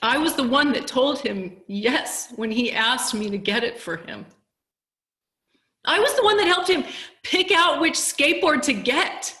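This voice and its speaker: Woman playing a grieving mom, stage monologue, slow and full of pauses, guilt-ridden, roomy echo. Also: high voice, animated, bright, forceful tone, heavily acted. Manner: halting, heavy pauses, emotional. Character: grieving, guilt-ridden mother, leader of a support group. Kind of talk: dramatic theatrical monologue. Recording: echoey room over video call.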